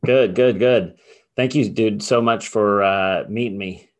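A man's voice: fairly low pitch, a little slow. A man hosting a podcast, asking questions in a friendly, chatty way and laughing easily. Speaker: podcast host. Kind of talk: casual interview.

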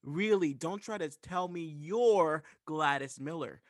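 A fussy, officious clerk in a radio drama, acted by a man, pitched on the high side. He sounds skeptical and easily exasperated, in the manner of an old-time play. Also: unhurried, natural voice.

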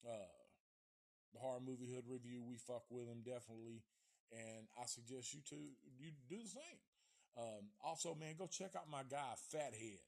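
A man's voice: mid-range voice, normal speed, creaky, a little mellow. A man hosting a podcast, talking loosely and casually with lots of swearing and restarted words. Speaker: podcast host. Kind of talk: casual podcast shout-outs.

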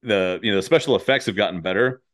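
A man with a fairly low voice hosts a casual comedic conversation. He rambles from thought to thought in a jokey way and swears freely. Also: quick pace. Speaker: podcast host.

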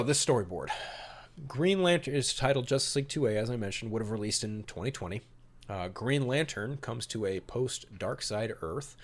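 A man hosts a podcast in a fairly low voice. He shifts from reading out summaries to casual, opinionated commentary, stressing his points emphatically and swearing mildly. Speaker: podcast host. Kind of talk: podcast talk mixing read-out storyboard summary and casual commentary.

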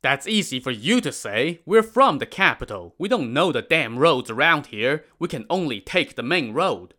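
A man's voice: a little high, big pitch swings, normal speed, sharp tone, voice pushed up. A man voicing impatient merchants from the capital who demand to pass and scoff at the soldiers.

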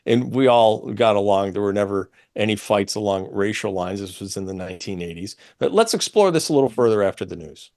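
A man's voice: fairly low pitch, animated, brisk, few pauses, darkish tone. A middle-aged American man talking as an opinionated radio host, explaining things in an emphatic, pedantic way. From United States.

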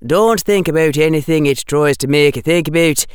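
A man playing an earnest schoolboy, pitched up. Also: moderate pace, clear, resonant tone, dry recording, clean studio sound, natural voice. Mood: firm, encouraging. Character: earnest, firm schoolboy, helpful and plain-spoken. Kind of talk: audiobook character voice.

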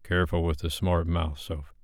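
A man voicing a blunt, stern, irritated man with a deep voice in an audiobook. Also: little pitch movement, moderate pace, no echo, clean studio sound.

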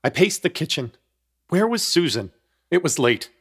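A man's voice: a little high, very animated, normal speed, fairly bright tone, breathy edge, exaggerated voice. An actor playing an uneasy apartment hunter who narrates his ordeal in florid, wry scripted storytelling for a horror comedy radio play. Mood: tense, unsettled.

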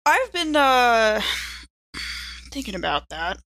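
Bright, creaky-voiced woman playing a tabletop game. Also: on the high side, big pitch swings, slow, no echo. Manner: informal, thinking aloud. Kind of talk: casual game chat.

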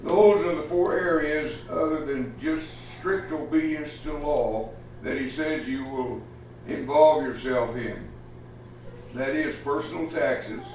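A man preaching a sermon as pastor, plainspoken and folksy, often pausing with "uh" as he talks. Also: fairly high pitch, darkish tone, slight background noise. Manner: plainspoken, folksy, halting with many uh fillers. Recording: echoey room from far off, faint ambience.

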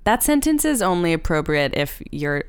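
Female co-host for comedic banter: wry, teasing, very animated pitch. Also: normal speed, clean tone. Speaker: podcast co-host.

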